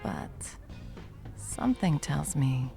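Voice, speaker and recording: Casting: actress as confident, teasing female bartender for ASMR roleplay. Very deep, creaky voice, intimate delivery. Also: wildly swooping pitch, soft background music, exaggerated voice. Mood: softly inviting. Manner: teasing, unhurried, soft chuckles, dropping toward whispers. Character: confident, flirtatious, protective hot female bartender. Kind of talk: ASMR roleplay, intimate one-sided conversation.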